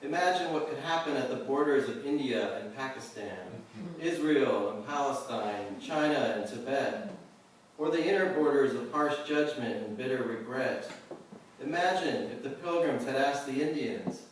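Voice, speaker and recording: A man's voice: mid-range voice, normal speed. Man reading poetry in a very echoey room. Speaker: poet. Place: indoor reading venue with a live audience. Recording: very echoey room, distant mic, faint noise.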